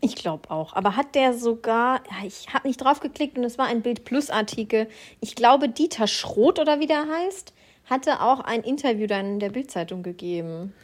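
High-voiced, laughing young woman. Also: normal speed. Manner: lively, laughing, blunt opinions. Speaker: podcast co-host. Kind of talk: casual chat.

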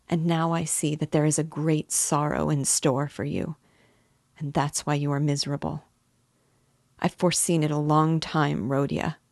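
A woman's voice: sits low, gravelly, darkish tone, no room sound, studio quality, heavily acted. Woman voicing an anxious, tearful mother. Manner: pleading, emotional, breaking into sobs. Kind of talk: audiobook character reading.

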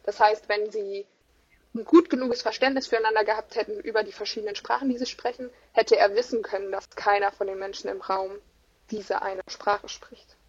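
A German woman in a casual conversation, talking in an animated but hesitant way with many fillers. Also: mid-range voice, fairly quick, slightly rough. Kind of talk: conversational chat. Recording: dull, lo-fi sound.

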